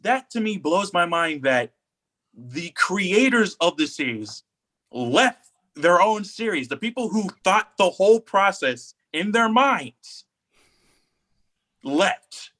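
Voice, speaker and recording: A man's voice: sits high, sing-song, takes it slowly, sharp tone. A man co-hosting a podcast, talking casually and giving opinions with emphatic, repeated phrasing. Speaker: podcast co-host.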